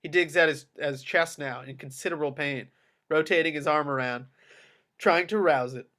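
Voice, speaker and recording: A man's voice: on the high side, normal speed, bright, forceful tone. A man narrating a screenplay's action descriptions at a table read. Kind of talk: screenplay stage-direction reading.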